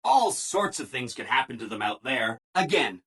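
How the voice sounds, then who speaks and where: Eager-to-please game robot, chipper, gushing, bright forceful tone, swooping pitch. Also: male voice, very animated, moderate pace, breathy edge, heavily acted. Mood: brightly matter-of-fact. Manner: chipper, exaggeratedly agreeable, gushing. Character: relentlessly cheerful, eager-to-please male robot assistant. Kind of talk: video game character dialogue.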